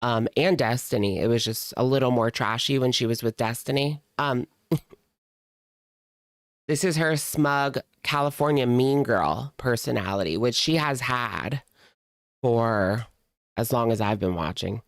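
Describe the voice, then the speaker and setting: Snarky, smooth-voiced woman reacting. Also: extremely low pitch, no room sound, studio quality. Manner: snarky, slangy, drawn-out emphasis. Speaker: reaction streamer commenting on a video. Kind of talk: casual reaction commentary.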